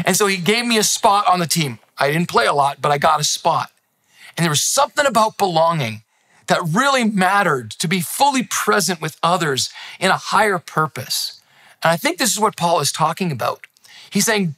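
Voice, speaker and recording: A man in his fifties, a pastor grown up in Thailand, giving an earnest, encouraging sermon from a living room. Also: sits high. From grew up in Thailand, now in Canada. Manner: earnest, encouraging, animated hand gestures. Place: living room with Christmas decor, indoors. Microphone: close camera, seated.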